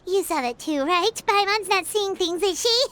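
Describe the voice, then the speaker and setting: A woman's voice: very high-pitched, expressive, normal speed, background noise. A woman voicing a chatty little fairy companion who talks about herself in the third person. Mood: chirpy.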